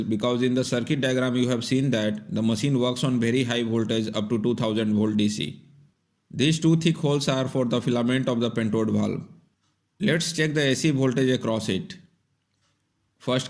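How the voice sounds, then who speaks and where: A man's voice: mid-range voice, steady tone, moderate pace, a little mellow. A man giving scripted, step-by-step instructional narration in a plain, methodical way. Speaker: repair tutorial narrator.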